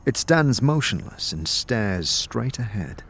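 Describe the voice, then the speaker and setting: A man's voice: mid-range voice, sing-song, slow pace, creaky, a bit bright, husky. A man narrating a fantasy audio drama in the second person, with dramatic, atmospheric storytelling.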